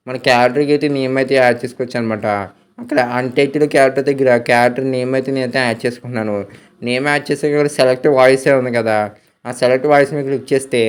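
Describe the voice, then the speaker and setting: Male tech tutorial host, rapid delivery, smooth clean voice, English terms mixed in. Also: medium pitch. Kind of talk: step-by-step tutorial voice-over.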